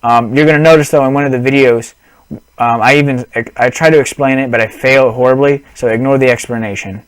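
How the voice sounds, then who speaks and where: A man's voice: mid-range voice, fairly even intonation, a little fast, clear, resonant tone, dry recording, rough recording. A young man on a headset mic, rambling through a casual gaming vlog with lots of restarts and apologies. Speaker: gaming vlogger.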